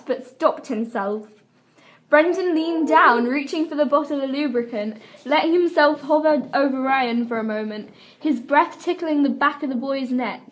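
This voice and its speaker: A young woman with a high voice reads fan fiction aloud as an amateur. She performs it as a dramatic reading. Her delivery is giggly and prone to breaking up. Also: a bit bright. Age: young adult. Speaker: amateur reader. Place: room at a school.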